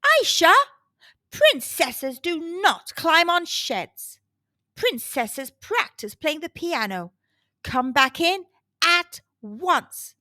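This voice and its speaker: A woman voicing a stern, commanding royal mother who scolds with a booming delivery in a children's storybook. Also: sing-song, extremely slow, sharp tone, no echo, clean studio sound, heavily acted. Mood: scolding.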